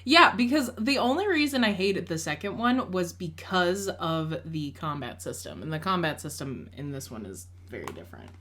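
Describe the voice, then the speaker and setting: A woman's voice: lowish voice, big pitch swings, normal speed, few pauses, fairly bright tone. A young woman co-hosting a casual video chat about games, upbeat and playful, laughing often. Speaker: co-host.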